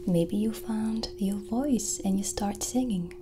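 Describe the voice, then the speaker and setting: A woman speaking in a gentle, hushed ASMR whisper, close to the mic and unhurried. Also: mid-range voice, noisy background. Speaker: ASMR host in a witch roleplay. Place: small dim indoor studio.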